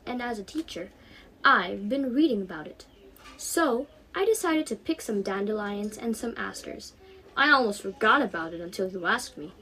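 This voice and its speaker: Young woman as a kindly, lively teacher aunt. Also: medium pitch, a little hiss or hum, lightly acted, poor audio. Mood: fond, instructive. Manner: patient, explanatory, fond.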